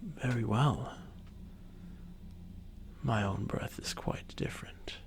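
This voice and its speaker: A man playing an arrogant, ancient dragon boy who was once a god, speaking with haughty condescension in a breathy, creaky voice for a fantasy ASMR roleplay. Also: mid-range voice. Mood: proud, instructive. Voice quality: breathy, whispery, with creaky edges. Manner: haughty, condescending, unhurried formal speech.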